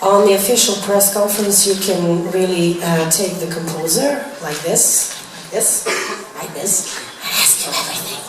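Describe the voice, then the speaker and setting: A woman's voice: on the low side, moderate pace. Chatty woman in echoey room. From Serbia. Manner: chatty, laughing, apologetic, with many fillers. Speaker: singer answering press. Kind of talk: press Q&A chat. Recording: echoey noisy room, far from mic.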